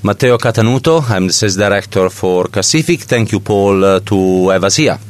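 A man's voice: lowish voice, normal speed. A man from Italy with an Italian accent, a guest on a tech podcast, speaking hesitantly with many fillers. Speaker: sales director, guest. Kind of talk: casual interview talk.

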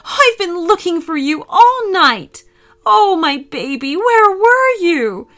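A woman's voice: very high-pitched, very animated, slow, fairly bright tone, voice pushed up, full character voice. A woman doing a tearful mother dinosaur. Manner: emotional, motherly.

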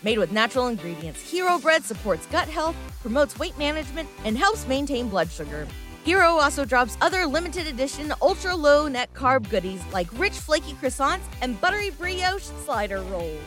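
A woman's voice: high voice, very animated, moderate pace, a bit bright. A woman reading a scripted advertisement as a voice-over. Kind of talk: scripted ad narration. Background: faint music.

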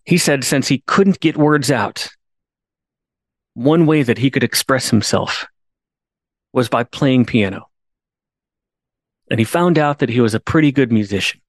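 A man's voice: medium pitch, measured pace, pauses often, no echo, clean studio sound. A man hosting a podcast, telling a story in an earnest way, restarting on repeated words and rising to emphatic pleas. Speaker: podcast host. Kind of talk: storytelling monologue.

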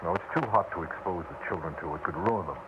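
An actor playing an anxious but steady husband and father in a 1960s radio drama, speaking in a measured, reasoning, grave manner. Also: low-pitched, close to an everyday voice. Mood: subdued, grave. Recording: muffled, noisy 1960s radio recording.

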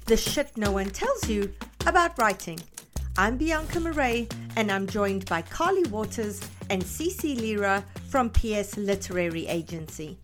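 A woman's voice: mid-range voice, animated, a little slow, music in the background. A woman hosting a writing podcast, welcoming listeners in an enthusiastic, bubbly way. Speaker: podcast host. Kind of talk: upbeat show hosting.

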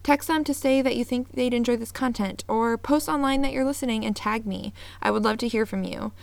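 An upbeat woman hosts her podcast in a bright, fairly high voice. She sounds earnest and eager, and the sound is close and dry with no room around it. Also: brisk, creaky, dry recording. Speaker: podcast host. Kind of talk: scripted intro then casual interview.

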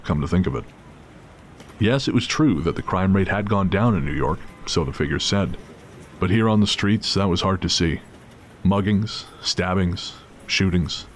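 A man's voice: low-pitched, slight background noise. A man narrating a scripted audio drama as storyteller.

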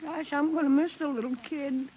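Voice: high-pitched, fairly even intonation, a little fast, close to an everyday voice. A performer playing an eager young American boy in 1940s radio acting. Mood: wistful. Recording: muffled, noisy 1940s radio recording.

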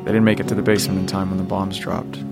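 A weary middle-aged survivor dad in an audio drama. His very low voice crackles with gravel, and he talks haltingly and without fuss, protective underneath. Music plays clearly behind him. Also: flat delivery. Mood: subdued, reflective. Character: weary, protective father surviving the apocalypse, former journalist.

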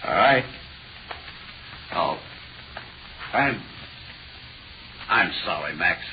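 A gruff American policeman from a 1940s radio play. His voice is deep, and he speaks in halting, awkward sympathy. The sound is muffled, with a light hiss. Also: expressive, heavily acted. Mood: sorry, reluctant. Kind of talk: 1940s radio drama acting.

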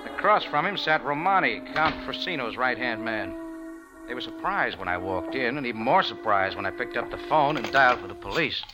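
An actor in a 1940s radio drama playing a tough, wry, unruffled cafe owner who narrates in a clipped, hard-boiled manner, on an old radio recording. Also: male voice, medium pitch, expressive, fairly quick, over music, close to an everyday voice, rough recording. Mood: hard-boiled, narrating.

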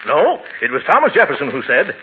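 A man's voice: a little high, wildly swooping pitch, a little fast. An actor playing a pompous, blustering middle-aged uncle in a 1940s radio comedy, hemming and hesitating as he goes. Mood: pompous, flustered. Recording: muffled, noisy old radio broadcast.

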